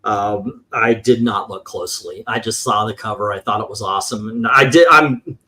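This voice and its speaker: A man's voice: on the low side, normal speed, bright, forceful tone, breathy edge. A man hosting a casual show-and-tell as a collector, rambling along with occasional profanity. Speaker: comic book collector and show host. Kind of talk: casual show-and-tell commentary on vintage comics.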